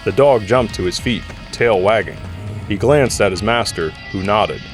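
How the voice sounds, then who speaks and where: A man's voice: fairly low pitch, normal speed, faint music behind. A man reading scripted story narration for an audio drama. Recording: faint ambient noise behind.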